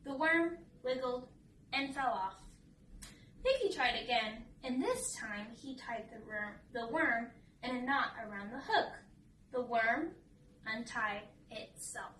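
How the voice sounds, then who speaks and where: Female storybook reader, bright tone, set back from the mic with some faint noise. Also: fairly high pitch, slow pace, echoey room, recorded from a distance, low-quality recording. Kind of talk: children's read-aloud narration and casual chat.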